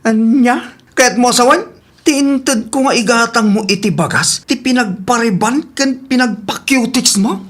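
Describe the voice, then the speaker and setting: A man's voice: very high-pitched, measured pace, a bit bright, full character voice. A man playing an exasperated husband in a comedy radio drama, full of complaints and incredulous questions. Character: exasperated husband fed up with his spendthrift wife.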